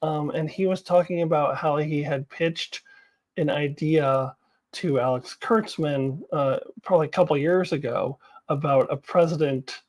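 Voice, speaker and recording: A woman giving casual, opinionated commentary to viewers as a video host, in a blunt, informal and halting way. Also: deep voice, darkish tone.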